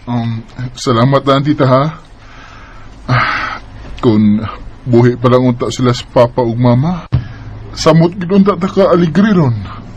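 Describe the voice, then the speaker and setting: A man's voice: medium pitch, measured pace, long pauses, lo-fi sound, slight background noise, natural voice. An actor playing a polite young man of eighteen in a radio drama, speaking earnestly and a little hesitantly. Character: Santi, polite eighteen-year-old orphaned young man, narrating his story.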